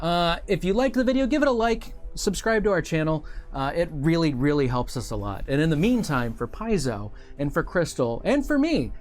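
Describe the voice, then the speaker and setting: A man hosting a casual studio chat show about gaming, rambling and quick to joke. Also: on the high side, sing-song, a little mellow, soft background music. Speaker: host of a tabletop gaming show.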